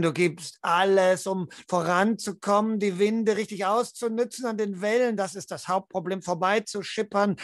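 A game master narrating a tabletop role-play dramatically, with vivid descriptions and suspenseful pauses. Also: mid-range voice, dry recording, studio quality. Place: indoor room, video call.

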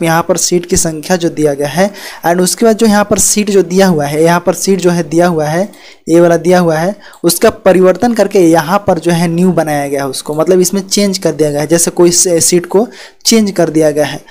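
A man's voice: high voice, darkish tone. A man, hosting an education channel and talking through a document on a shared screen. He repeats himself a lot and keeps filling in with "yahan par" and "theek hai". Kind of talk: screen-share explainer walkthrough. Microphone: voice-over on screen recording.

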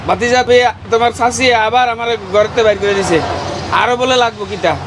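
A man's voice: extremely high pitch, fairly even intonation, fairly quick, crowd noise. A middle-aged man teasingly questioning family members outdoors in a village. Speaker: uncle filming and questioning. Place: outdoors village road and shop. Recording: outdoor camera mic with noise.

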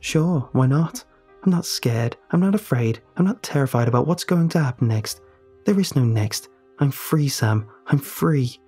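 A man's voice: medium pitch, lively intonation, moderate pace, mellow, slightly rough, soft background music. A man voicing a defiant, sarcastic young woman in an audio story.

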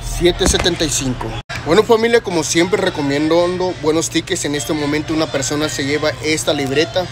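A man's voice: on the high side, normal speed, music in the background. A man with a Mexican accent chatting casually in slang to his followers, selfie-vlog style. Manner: slangy, with catchphrases and repeated appeals to followers. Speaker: social media lottery scratcher promoter. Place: small liquor store, indoors. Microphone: phone at arm's length. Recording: noisy store, phone recording.

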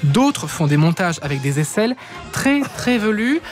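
Young guy, lively talker, radio studio mic, kinda higher voice. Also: moderate pace, over music. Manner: lively, talks with his hands. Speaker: web news columnist. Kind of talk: radio chronicle, casual banter. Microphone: studio mic with headphones.

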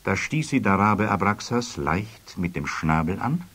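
A man narrating a children's story expressively, with a husky voice. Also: lowish voice, lively intonation, a little slow, a bit bright.

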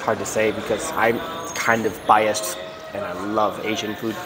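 A man's voice: lowish voice, slow, over a noisy crowd. An American man in his thirties, vlogging casually to a handheld camera inside a small restaurant. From United States. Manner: relaxed, chatty, gestures as he talks. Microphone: camera held at arm's length.

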